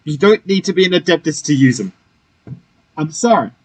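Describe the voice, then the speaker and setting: A man's voice: on the high side, animated, fairly quick, a little mellow, breathy edge, low-quality recording. A man giving casual, reactive commentary as he plays through a game. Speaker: gamer playing through a story quest. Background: game music.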